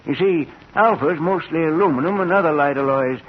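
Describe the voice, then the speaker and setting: An actor playing a frail, kindly old inventor professor in a vintage radio drama, with an eager, avuncular manner. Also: male voice, a little high, brisk, close to an everyday voice. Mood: proud. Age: older. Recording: muffled, hissy old radio recording.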